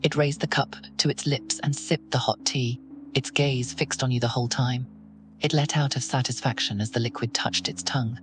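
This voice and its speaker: A man's voice: medium pitch, steady tone, normal speed, noisy background. Man narrating horror in the second person.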